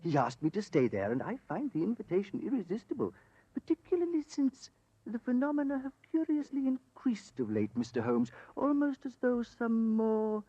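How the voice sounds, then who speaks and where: Dull old radio recording, male occult investigator, husky, swooping pitch, eager, courtly, theatrical. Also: very animated, normal speed, warm tone, no room sound, full character voice. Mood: eager storytelling. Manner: theatrical, emphatic, genteel. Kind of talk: 1940s radio acting.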